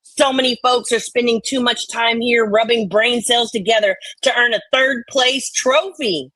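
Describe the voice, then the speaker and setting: A woman's voice: fairly high pitch, moderate pace, fairly bright tone, dry recording, low-quality recording. A woman co-host doing irreverent, sweary dramatic readings of online comments mixed with banter.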